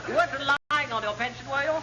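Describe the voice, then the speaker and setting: Very high, tactless upper-class English commander. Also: male voice, fast talker. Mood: gleeful. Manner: chortling, old-chap bluster. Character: gleefully tactless, jolly upper-class intelligence commander, a man. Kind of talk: classic British radio comedy acting. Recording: muffled, noisy old radio recording.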